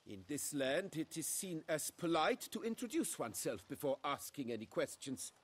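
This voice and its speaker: A fussy male wine steward in a fantasy game, with a highish, swooping voice. He speaks formally and pompously, bowing to his betters. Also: sing-song, moderate pace, slightly rough. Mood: haughty, proud. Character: fussy, proud male winery steward, obsequious to royalty. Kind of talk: video game voice acting.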